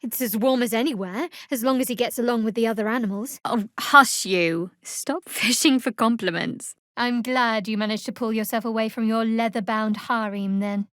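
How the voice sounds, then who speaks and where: Woman acting a guarded, dry-witted young cleric, higher-pitched. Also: a bit bright, no echo, close to an everyday voice. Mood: soft, fond. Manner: measured, dry, wry. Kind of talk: fantasy role-playing game voice acting.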